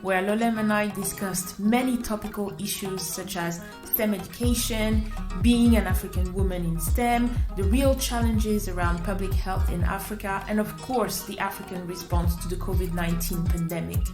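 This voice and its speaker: A woman hosts a podcast in a polished, carefully articulated way. Her voice sits at an ordinary middle pitch. She is recorded in an echoey room with faint music underneath. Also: steady tone, normal speed. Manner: polished, clearly read and articulate. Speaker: podcast host. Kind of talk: scripted intro then interview questions. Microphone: remote recording.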